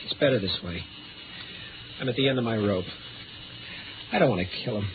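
An actor playing a desperate, panic-stricken man afraid of the dark, with halting, anguished delivery in a 1950s radio drama. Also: fairly low pitch, expressive, natural voice. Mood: resigned, desperate. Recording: noisy, phone-like old radio recording.

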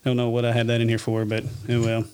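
A man's voice: mid-range voice, completely flat delivery, fast, background noise. A man hosting a casual podcast from a small home studio, laughing often and swearing freely. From Arkansas, USA. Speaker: podcast host.

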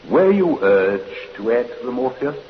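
An actor playing a probing, theatrical prosecuting barrister, formal and deliberate, in a radio courtroom drama. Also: male voice, mid-range voice, sing-song. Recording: echoey, muffled, hissy old radio recording.

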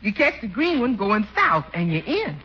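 A cheeky, easygoing housekeeper played by a woman teases in a folksy, very expressive voice. It is a muffled 1940s radio sitcom with faint noise. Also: medium pitch, moderate pace, close to an everyday voice. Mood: helpful. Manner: teasing, folksy.

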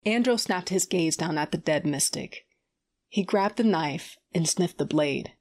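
Low-voiced, tough female gunslinger. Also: lively intonation, unhurried, darkish tone, heavily acted. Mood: strained, wry. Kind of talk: first-person audio drama narration.

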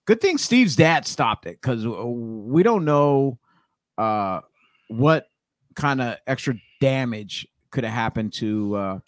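A man's voice: medium pitch, animated, slow, no echo. A man in his forties chatting casually and jokingly as co-host of a talk show. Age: 40s. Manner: joking, banter-prone. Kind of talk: casual chat.

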